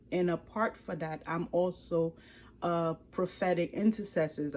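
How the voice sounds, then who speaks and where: A woman in her forties with a Haitian accent, giving an earnest, expressive personal testimony to camera. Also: fairly low pitch, slight background noise. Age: 40s. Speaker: life coach and ministry leader. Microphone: speaking to a camera.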